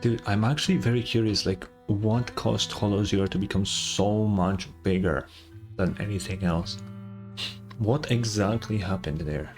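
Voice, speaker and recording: A man's voice: fairly low pitch, a little slow, darkish tone, soft background music. A young man, a gaming streamer, giving casual playthrough commentary and reading story text aloud.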